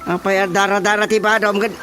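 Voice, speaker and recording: A woman's voice: mid-range voice, little pitch movement, brisk, fairly bright tone, soft background music, close to an everyday voice. An actress playing a village chairman in a radio comedy drama, exclaiming and incredulous. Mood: startled, concerned.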